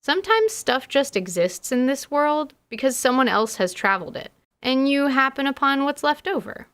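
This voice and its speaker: A woman's voice: high voice, expressive, moderate pace, a bit bright, clean tone. A woman hosting as a dungeon master, narrating a scripted podcast in an articulate, slightly wry way.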